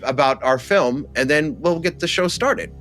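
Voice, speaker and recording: A man's voice: on the high side, expressive, normal speed, a bit bright, soft background music. A man co-hosting a film discussion podcast, talking with wry, theatrical flourishes. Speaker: podcast co-host. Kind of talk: film discussion podcast, chat and read-out copy.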